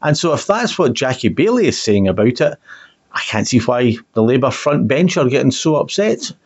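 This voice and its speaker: A man argues politics with indignant emphasis, repeating words to hammer points home. His mid-pitched voice has a slightly rough edge, and the recording sounds dull. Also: sing-song, normal speed. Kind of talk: political discussion, argumentative. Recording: dull, muffled lo-fi audio.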